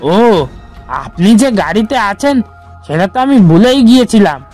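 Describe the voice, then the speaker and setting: A man voicing a grave, cryptic village auto-rickshaw driver in an animated horror story. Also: high voice, unhurried, faint music behind, exaggerated voice. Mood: absent-minded. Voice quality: grave-toned.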